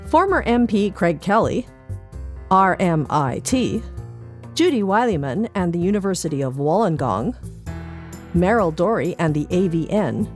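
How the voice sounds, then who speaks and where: Podcast newsreader: Canadian female, animated and playful delivery of script, soft music underneath. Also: lowish voice, a little slow. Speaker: newsletter reader on a podcast. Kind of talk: light-hearted scripted news reading.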